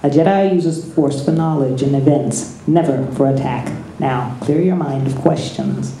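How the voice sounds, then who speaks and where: A storyteller voicing a tiny, ancient, wise creature master, speaking slowly and deliberately in a low voice, in a roomy-sounding space. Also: dark tone, slight background noise, natural voice. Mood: instructive.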